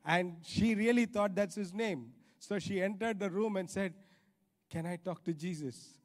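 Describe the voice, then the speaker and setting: High-voiced Indian-accented male preacher in a hall. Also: a little slow. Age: middle-aged. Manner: emphatic, repeats phrases for stress. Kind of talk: sermon. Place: large church auditorium stage. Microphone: handheld microphone. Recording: reverberant hall sound.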